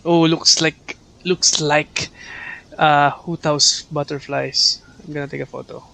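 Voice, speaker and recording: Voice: mid-range voice, level tone, unhurried, gravelly, sharp tone. A game streamer with a Filipino accent and Filipino as native language, giving casual livestream commentary. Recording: game music behind voice. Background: video game music.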